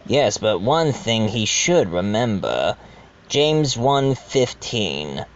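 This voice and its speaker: A man's voice: lowish voice, big pitch swings, slow pace, heavily acted. A man playing a murdered doctor's ghost who wryly narrates an amateur mystery audio drama, in a dull recording. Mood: reproachful.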